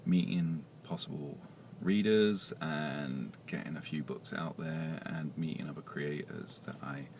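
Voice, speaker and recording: A man who writes comics, talking quietly and hesitantly in a casual vlog monologue, with many pauses and fillers. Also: a bass voice, slight background noise.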